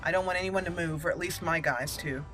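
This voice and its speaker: A woman's voice: lowish voice, quick pace, a bit bright, soft background music. A woman giving casual let's play commentary as a channel host, thinking aloud and repeating words as she goes.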